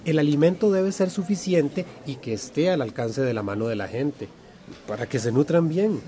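A man plays an encouraging village workshop teacher in a radio drama. His mellow voice moves up and down in animated swoops as he affirms and questions with a Central American accent. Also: a little high, moderate pace, close to an everyday voice. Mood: affirming, explaining. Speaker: workshop facilitator. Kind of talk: radio drama acting as a lively classroom lesson.

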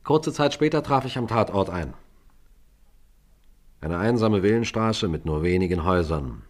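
A man's voice: low-pitched, lively intonation, normal speed. A man playing a composed police sergeant who narrates a radio crime drama in a precise, formal manner. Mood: recounting, composed. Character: composed police sergeant recounting an old case.

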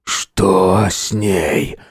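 Dying warrior for an audiobook, man performing: low, hoarse croak, gasping, very slow, gruff and desperate, close dry sound. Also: no echo, full character voice. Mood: weak, strained. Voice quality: hoarse, croaking, strained whisper. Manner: slow, drawn-out, gasping delivery.

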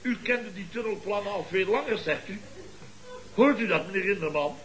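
An actor playing a blustering, boastful contractor boss in a Dutch radio comedy, with a pompous, theatrical, lecturing manner. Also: male voice, high voice, normal speed, a crowd in the background, lightly acted, poor audio. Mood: sheepish, agitated. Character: blustering, boastful contractor boss and uncle, scheming wheeler-dealer.

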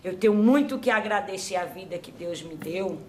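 A woman acting as a medium for an old grandmother spirit, giving slow, repetitive spiritual advice that trails off softly. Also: on the low side, a little hiss or hum, natural voice. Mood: counseling. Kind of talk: spiritual counseling.

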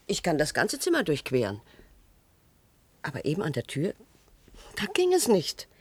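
An actor in a radio drama playing a forgetful, confined character who speaks in a dreamy, halting way. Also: medium pitch, huge pitch swings, breathy edge. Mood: hushed, uneasy.

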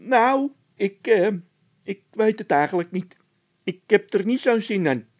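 A man's voice: sits high, lively intonation, a little slow, pitched above the performer's own voice. A man voicing a whiny, jittery workman in a children's audiobook, pushy, easily frightened and full of exclamations. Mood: nervous. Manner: insistent, exclamatory.

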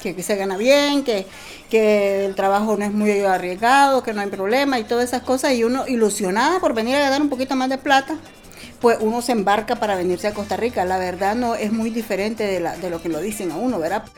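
A woman's voice: mid-range voice, brisk. A middle-aged woman with a Nicaraguan accent sharing an informal personal testimony. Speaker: migrant interviewee. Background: music.

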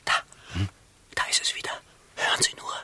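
An actor in a radio drama playing an eccentric, feverishly earnest gentleman detective, theatrical and deliberate in delivery. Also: whispered. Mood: hushed, urgent.

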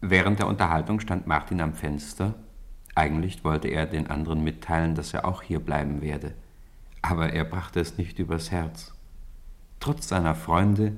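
Low-voiced man narrating a story. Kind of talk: scripted story narration for radio play.

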